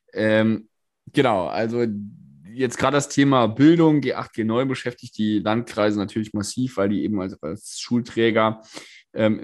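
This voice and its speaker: A hoarse man co-hosting a casual political podcast, talking things through with many fillers and restarts, recorded remotely. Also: fairly low pitch, darkish tone. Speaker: podcast co-host, state politician.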